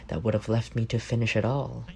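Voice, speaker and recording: A man's voice: medium pitch, little pitch movement, brisk, creaky, darkish tone, lightly acted. A man playing an affectionate, teasing young roommate in an intimate ASMR roleplay, speaking in a playful, tender way.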